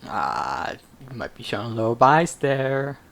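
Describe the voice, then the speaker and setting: A woman's voice: a bass voice, very animated, measured pace, gravelly, slight background noise. A woman giving casual, informal and reactive commentary while playing a game. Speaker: streamer.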